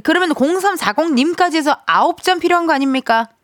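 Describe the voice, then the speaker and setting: A woman's voice: high voice, animated, fast talker, a bit bright, no echo, studio quality. Bubbly giggling woman radio DJ. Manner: giggly, playfully drops dialect fillers. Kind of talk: casual radio chat. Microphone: studio mic.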